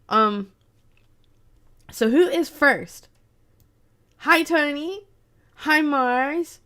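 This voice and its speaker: A young woman streamer chats casually with her viewers in an unhurried, drawn-out way. Her voice sounds congested and sniffly from a lingering cold. She greets people by name and laughs easily between thoughts. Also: high-pitched, lively intonation, a bit bright. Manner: slow, chatty, greets chat by name, laughs often. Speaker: streamer host. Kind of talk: casual livestream chat.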